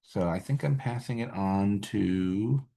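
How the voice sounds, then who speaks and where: A man's voice: fairly low pitch, measured pace, gravelly, dark tone. A man speaking informally as a public health activist, urging people to get involved. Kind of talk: informal rally speech.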